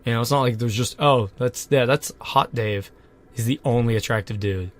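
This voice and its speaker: A man co-hosting a podcast, trading deadpan absurd jokes in casual chat, a little congested from a cold. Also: mid-range voice, normal speed. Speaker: podcast co-host.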